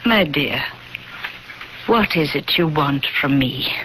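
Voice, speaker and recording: A woman's voice: deep voice, expressive, slow, a bit bright, heavily acted. An actress playing an eerie, weary old woman in a 1970s radio drama, speaking in a pensive, insinuating way. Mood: probing, wistful. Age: older. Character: eerie, weary old woman next door, secretly sinister. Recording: noisy vintage radio broadcast recording.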